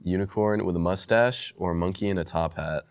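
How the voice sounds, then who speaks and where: A young man co-hosting a podcast in casual chat, quick to argue his point in banter. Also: sits low. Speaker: podcast co-host.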